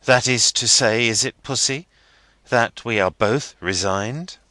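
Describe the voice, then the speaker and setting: A man voicing a stiff, half-grumbling young gentleman fiancé. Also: mid-range voice, no echo, pitched above the performer's own voice. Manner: measured, slightly sulky delivery.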